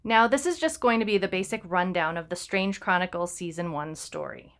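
A woman's voice: on the low side, fairly quick, fairly bright tone. A woman co-hosting a podcast, chatting casually with frequent ums and restarts. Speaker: podcast co-host. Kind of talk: casual chat.

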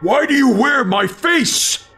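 Frantic, high-strained man demanding answers, acted by a male narrator. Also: very animated, moderate pace, faint music behind. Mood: angry, frantic. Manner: strained, shouted, desperate. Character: frantic, enraged young man confronting his double.

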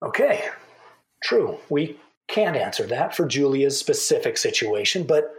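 A man acting an earnest, combative debater in a fiction podcast, arguing emphatically. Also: on the high side. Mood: pressing. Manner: emphatic, argumentative. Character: earnest, combative male debater on a press tour.

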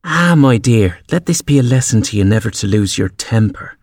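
A man's voice: lowish voice, lively intonation, moderate pace, darkish tone, no echo, clean studio sound. A man voicing a moralising old mother crab in a storybook. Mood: admonishing.

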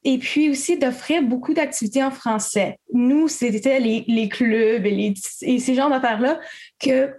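A woman's voice: sits high, unhurried, dark tone. A young woman from Quebec, answering as a student panelist in a casual, hesitant way with many fillers.

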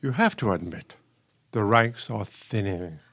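A man's voice: lowish voice, animated, unhurried, a bit bright, breathy edge, heavily acted. A man playing a patient, resigned retired professor, an older husband in a radio play, speaking in a dry, understated way. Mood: weary, reproachful.

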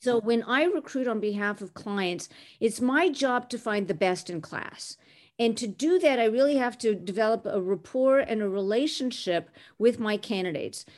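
A woman's voice: fairly high pitch, expressive, normal speed, a little mellow. A woman in her sixties from Canada, a recruiter, telling her career story in an interview with articulate, self-assured ease. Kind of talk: interview answers.

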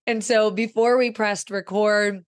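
A woman in her thirties talks in a casual, effusive podcast style, her voice raspy and hoarse because she is losing it. The sound is dry and close, with little room around it. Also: mid-range voice, fairly even intonation, measured pace, no echo. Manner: warm, effusive and upbeat. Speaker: podcast host and growth coach.

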